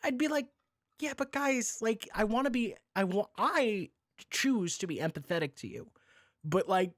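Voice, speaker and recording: Male podcast host, fairly high-pitched, animated and rambling, sweary, casual, recorded very dry. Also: normal speed, no echo.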